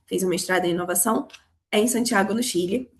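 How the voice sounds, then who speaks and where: A Brazilian woman from Rio with a Carioca accent, hoarse from a cold, teaching a live online class on money, talking straight to her students. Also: medium pitch, brisk. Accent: Carioca Brazilian Portuguese. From Rio de Janeiro, Brazil. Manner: explanatory, addresses students directly. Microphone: video call.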